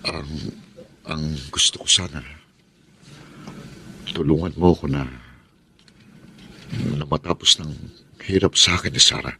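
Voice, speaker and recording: A broken husband in a radio drama, his voice deep and heavy with self-pity. He speaks haltingly, ready to sacrifice himself for his family. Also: steady tone, sharp tone, breathy edge, exaggerated voice. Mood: pleading, sorrowful. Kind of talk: Tagalog radio drama acting.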